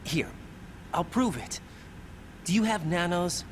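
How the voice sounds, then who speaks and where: An actor playing an earnest young soldier in a video game, speaking in an urgent yet reassuring manner. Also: male voice, sits high, slow pace, a little hiss or hum. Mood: insistent. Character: earnest young male soldier on a rescue mission. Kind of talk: dramatic game cutscene acting.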